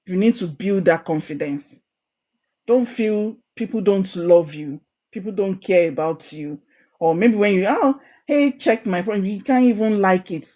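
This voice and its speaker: A young woman with a Nigerian Ijesha accent, coaching a women's group in an online course, animated and encouraging, often repeating phrases for emphasis. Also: mid-range voice, a little slow. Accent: Nigerian, Ijesha Yoruba.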